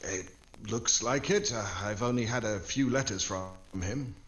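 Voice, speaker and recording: A man's voice: medium pitch, a little slow, fairly bright tone, lightly acted. A man playing a wisecracking, unflappable American secret agent in a 1940s-style radio drama, offhand and cagey. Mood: startled, wary.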